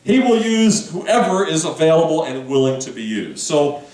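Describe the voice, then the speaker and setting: A male pastor with a high, bouncing voice delivers a loose, conversational sermon. He talks to the room, tossing out asides and questions. The sound is roomy and echoey, picked up from some way off the mic. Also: very animated, moderate pace, darkish tone, recorded from a distance. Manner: conversational, chatty preaching with asides and audience questions. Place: church sanctuary.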